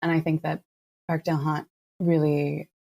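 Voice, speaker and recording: A woman's voice: low-pitched, little pitch movement, moderate pace, vocal fry, warm tone. A woman speaking as a podcast co-creator, thoughtful and earnest in a reflective discussion.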